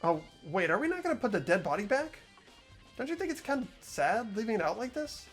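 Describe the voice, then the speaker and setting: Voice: medium pitch, big pitch swings, brisk, faint music behind, close to an everyday voice. A performer voicing an earnest, hesitant teenage boy student who stammers and questions things. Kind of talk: amateur voiced game playthrough.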